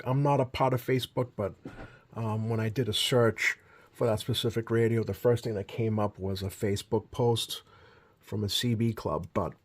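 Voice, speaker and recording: Man giving a halting, rambling show-and-tell. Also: lowish voice, husky. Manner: halting, rambling, self-correcting delivery. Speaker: host of a radio hobby video. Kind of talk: casual unscripted show-and-tell commentary.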